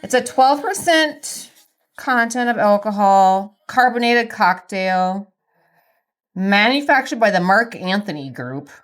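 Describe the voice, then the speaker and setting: A woman's voice: medium pitch, lively intonation, slow pace. A woman chatting casually and informally as a podcast host. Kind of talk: casual chat.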